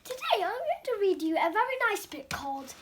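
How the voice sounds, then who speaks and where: A young girl hosting storytime, reading a children's book aloud with careful, animated expression. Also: very high-pitched, a little fast, background noise.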